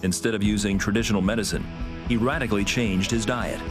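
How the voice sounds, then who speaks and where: Low male narrator over guitar music. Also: steady tone, fast. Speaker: narrator of TV segment. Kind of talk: scripted promotional narration.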